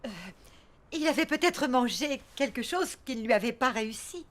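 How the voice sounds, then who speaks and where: Highish, reasonable cartoon mum. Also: female voice, animated, moderate pace, fairly bright tone. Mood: tactful, reproving. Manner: tactful, coaxing. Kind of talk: cartoon voice acting.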